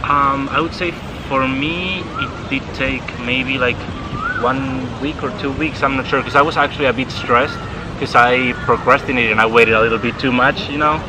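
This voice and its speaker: A man's voice: mid-range voice, fairly even intonation, moderate pace. A young man from Spain, an exchange student chatting casually and filling his talk with "like". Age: young adult. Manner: chatty, many "like" fillers. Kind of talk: casual chat. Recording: outdoor traffic and boat noise.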